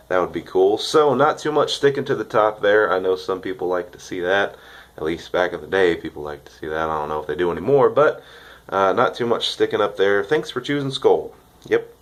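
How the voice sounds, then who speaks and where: A young man, congested from a cold, chatting casually and cheerfully in a rambling vlog with lots of fillers. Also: on the low side. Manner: rambling, upbeat, filler-heavy. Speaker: vlogger reviewing dip tobacco.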